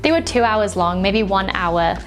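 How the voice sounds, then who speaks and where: A young woman with a light Yorkshire accent speaks at a middling pitch with faint music behind her. She explains things in a loose, chatty way, often reaching for "like" and "um." Her tone is that of an English teacher talking straight to her viewers. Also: lively intonation, normal speed. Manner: chatty, with frequent "like" and "um" fillers. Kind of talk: casual explainer video.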